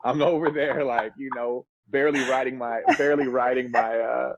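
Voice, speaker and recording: A man's voice: medium pitch, fairly even intonation, normal speed, muffled recording. A man in his thirties telling stories casually as a musician guest on a podcast, in laid-back, slangy, earnest and reflective speech. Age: 30s.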